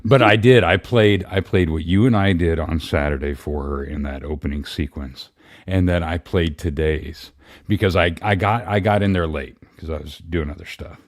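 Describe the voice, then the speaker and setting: A man's voice: low voice, moderate pace, dry recording, clean studio sound. A man chatting casually as a guest on a live stream, telling anecdotes in an informal, salty way.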